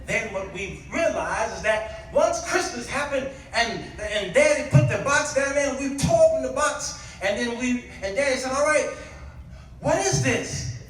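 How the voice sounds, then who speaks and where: A man's voice: extremely high pitch, expressive, a little slow, a bit bright. A man preaching a church sermon, moving between conversational storytelling and emphatic exclamation. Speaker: guest preacher. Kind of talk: animated church sermon with call and response. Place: indoors at a church. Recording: echoey church hall, distant mic, faint noise.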